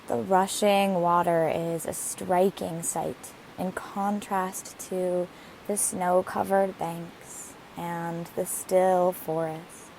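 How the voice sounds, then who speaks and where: A woman leading a guided meditation in a gentle, soothing manner with deliberate phrasing. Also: on the low side, poor audio. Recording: steady hiss behind the voice. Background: hiss, rushing waterfall water.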